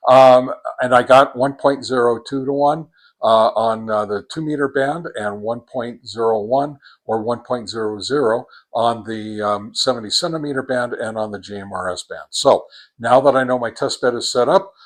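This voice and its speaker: A man doing a casual, plainspoken review of radio equipment, with frequent fillers and "all right?" tags. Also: medium pitch.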